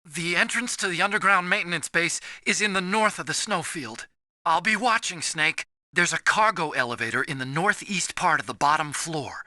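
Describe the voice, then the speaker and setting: An actor playing an earnest, anxious, soft-hearted young engineer in a video game, with a boyish, pleading way of talking. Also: male voice, fairly high pitch, normal speed, dry recording. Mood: helpful.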